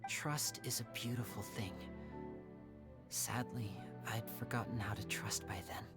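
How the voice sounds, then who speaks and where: An actor playing a young male magician with secrets, speaking in a soft, reflective, measured game-dialogue style. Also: medium pitch, fairly even intonation, close to an everyday voice. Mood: regretful. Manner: soft-spoken, reflective, measured theatrical delivery. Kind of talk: scripted dramatic game dialogue. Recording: music playing under the voice.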